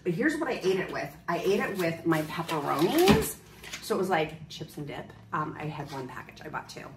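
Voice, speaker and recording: A woman's voice: fairly low pitch, a little hiss or hum. A woman in her thirties gushing through a grocery haul vlog, bubbly and upbeat, filmed on a handheld phone. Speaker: vlogger. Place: grocery store aisles, then home.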